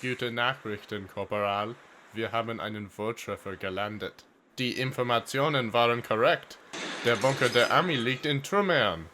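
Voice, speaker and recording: An actor playing a dutiful, anxious German soldier in an audio drama, formal and deferential. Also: male voice, mid-range voice, normal speed, sharp tone, slight background noise, close to an everyday voice. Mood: dutiful reporting.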